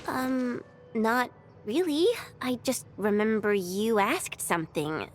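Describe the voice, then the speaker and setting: A woman voicing a hot-headed, good-hearted young woman warrior in a video game, expressive and informal. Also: fairly high pitch, slow, soft background music. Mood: flustered.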